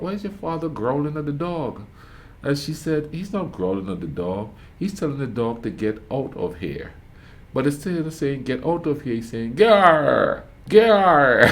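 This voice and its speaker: A man with a Grenadian accent, hosting a reaction video and telling lively stories in casual chat. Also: medium pitch, a little hiss or hum. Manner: animated storytelling with laughs.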